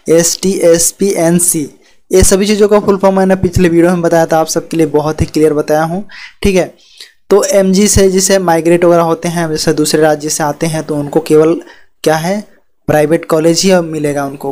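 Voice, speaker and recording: A man's voice: fairly high pitch, darkish tone. A man, hosting an education channel and talking through a document on a shared screen. He repeats himself a lot and keeps filling in with "yahan par" and "theek hai". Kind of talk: screen-share explainer walkthrough. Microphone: voice-over on screen recording.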